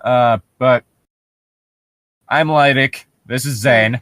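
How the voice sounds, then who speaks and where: A man's voice: medium pitch, steady tone, takes it slowly, fairly bright tone, no echo. A man co-hosting a comedy show, bantering casually and mockingly reading internet stories aloud in a sarcastic, sweary way.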